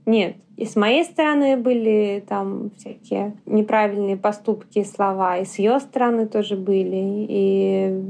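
A woman from Russia, a podcast guest, telling personal stories candidly in a lively way and acting out the dialogue. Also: mid-range voice, unhurried, few pauses. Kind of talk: casual storytelling.

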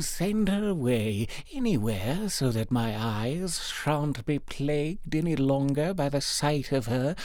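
Storybook wicked stepmother acted by a man: raised, loud, shrewish voice, scolding and bossy. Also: mid-range voice, dry recording, clean studio sound, pitched above the performer's own voice. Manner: scolding, commanding.

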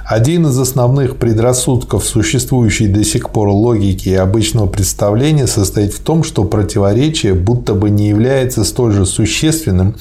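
A man in a philosophy discussion, reading quoted passages aloud in a measured way. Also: fairly low pitch, dark tone. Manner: measured, reads aloud steadily. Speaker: co-host reading and discussing texts.

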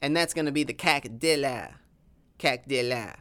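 A man's voice: medium pitch, moderate pace, pushed and bright, smooth, natural voice. A man voicing a boastful folksy Texan cowboy with a Southern accent, talking in a drawling, ungrammatical, blustering way. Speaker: interview guest.

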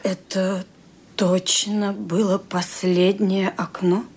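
An actress playing an imperious elderly widowed lady in a radio drama, speaking gravely and deliberately. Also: mid-range voice, flat delivery, darkish tone. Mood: uneasy. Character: frightened, commanding elderly widowed lady of the house.

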